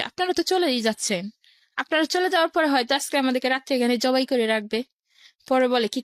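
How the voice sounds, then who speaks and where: A woman with a high voice and a Bangladeshi accent shares personal experience as a guest. She narrates in a plain, colloquial way, one step after another. The sound is dry and close. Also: dry recording. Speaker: guest telling her life story. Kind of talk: personal storytelling in an interview.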